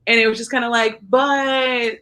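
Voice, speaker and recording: A woman's voice: on the high side, fairly even intonation, moderate pace, pushed and bright. A young woman talking candidly and wryly in a casual podcast conversation, with a self-mocking edge. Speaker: podcast co-host.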